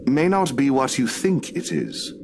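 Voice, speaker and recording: An actor playing a calm, learned young village priest in a visual novel, speaking politely and with gentle firmness. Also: male voice, mid-range voice, measured pace, vocal fry, soft background music, exaggerated voice. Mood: gently firm.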